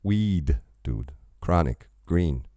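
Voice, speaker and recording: A man's voice: sits low, lively intonation, drawn-out words, dark tone, a little hiss or hum. A man hosting a reaction channel, rambling casually with chatty asides while sipping a drink.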